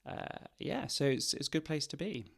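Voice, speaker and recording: A man in his forties from Wales, a sociology professor with a mildly Welsh British accent, talking in a thoughtful, wry, measured way as an interview guest. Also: fairly high pitch, big pitch swings, gravelly. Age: 40s. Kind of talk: conversational interview.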